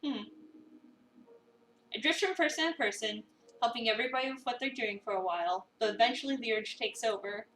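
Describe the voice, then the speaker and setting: A woman narrating a visual novel as an expressive first-person dramatic reading. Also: a little high, a little fast, slight background noise. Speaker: narrator, streamer. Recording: roomy, echoey, distant mic.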